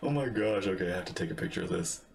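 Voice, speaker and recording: Low-pitched casual game streamer. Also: lively intonation, very fast, creaky, heavy background noise. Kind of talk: casual gaming commentary, reading lines aloud.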